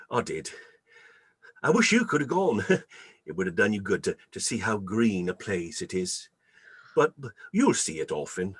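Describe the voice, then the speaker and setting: A man playing a tender, grief-stricken father in a radio play, halting and emotional. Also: sits low, a bit bright, husky, dry recording, exaggerated voice.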